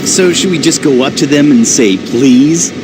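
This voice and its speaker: An actor playing a brash, sarcastic young punk in a video game cutscene. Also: male voice, on the high side, normal speed, natural voice. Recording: loud engine and vehicle noise behind.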